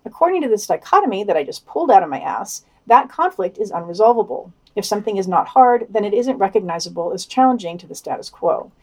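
A woman's voice: medium pitch, very animated, fast talker, hardly any pauses, dark tone, husky. A woman co-hosting a podcast, reading scripted news commentary and quotations with occasional wry asides. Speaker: podcast co-host.

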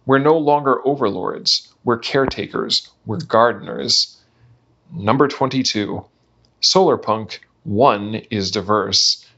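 A man reading a written text aloud in a measured, enunciated way for a podcast. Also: medium pitch, fairly bright tone, slightly rough. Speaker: reader of a manifesto. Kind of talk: scripted reading of a numbered manifesto. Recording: dull, lo-fi podcast audio.

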